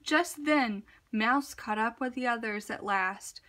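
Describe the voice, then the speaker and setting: Woman, children's story narration, expressive, high-pitched, unhurried, deliberate. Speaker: storybook reader.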